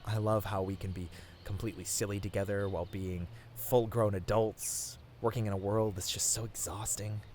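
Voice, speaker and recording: A man playing a tender, sappy boyfriend in a romantic ASMR roleplay, speaking softly and earnestly to the listener with small hesitant stammers. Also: on the low side, slight background noise, natural voice. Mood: nervous, earnest. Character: tender, sappy boyfriend confessing love on a picnic.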